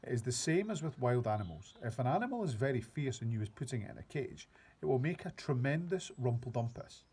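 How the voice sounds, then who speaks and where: A man's voice: medium pitch, sing-song, brisk, a little mellow, slightly rough. A man doing a big friendly old giant. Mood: grumbling. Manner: mangled grammar and made-up words. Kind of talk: reading a children's book aloud.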